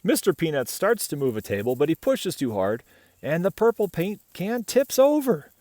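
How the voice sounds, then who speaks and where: A man reads a picture book to children with a very animated, swooping delivery. His voice sits fairly high and sounds close and dry, and he playfully steps aside to chat with the young listener. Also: moderate pace, no room sound. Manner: playful, asks the listener questions. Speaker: picture-book reader, host. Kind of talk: animated children's read-aloud with chatty asides.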